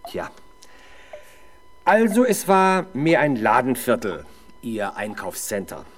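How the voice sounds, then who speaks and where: A man's voice: medium pitch, very animated, slow. A man playing a sceptical, sardonic middle-aged police interrogator in a 1970s German radio drama, dry and needling.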